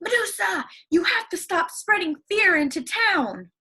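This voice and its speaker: A woman doing a bold young male hero, high-voiced. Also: expressive, measured pace, sharp tone, heavily acted. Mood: stern.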